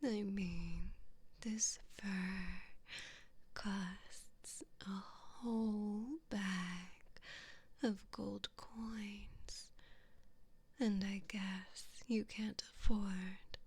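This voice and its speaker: Woman playing a slow, whispering evil queen. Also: mid-range voice, fairly even intonation, darkish tone, no echo, exaggerated voice. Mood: mocking, smug. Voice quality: breathy, whispered. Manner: slow, taunting, condescending. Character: cruel, haughty evil queen toying with her prisoner. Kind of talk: ASMR roleplay, whispered.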